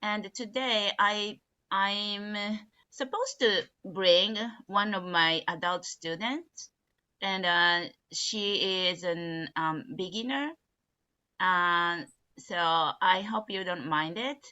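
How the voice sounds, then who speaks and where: A woman from Japan, an English teacher introducing herself cheerfully at a measured pace. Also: medium pitch, sharp tone, clean tone. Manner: cheerful, measured, with light laughter. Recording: dull lo-fi video call audio.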